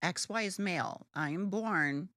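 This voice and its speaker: A woman with a deeper alto voice co-hosting a casual podcast, brash and joking, swearing freely. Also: a little slow, no room sound, studio quality. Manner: animated, lively pitch. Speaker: podcast co-host. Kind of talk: casual chat.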